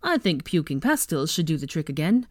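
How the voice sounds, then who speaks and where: A woman's voice: deep voice, sing-song, brisk, no echo, clean studio sound. Woman as a wry, long-suffering teenage boy. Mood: scheming.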